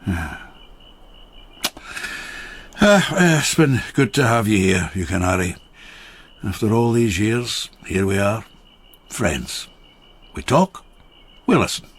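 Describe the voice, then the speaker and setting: An actor playing a rueful rural labourer of old Japan in a radio drama, a wry storyteller with a gravelly, husky voice. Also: male voice, lowish voice, big pitch swings, takes it slowly, pauses often, heavily acted. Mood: warmly teasing.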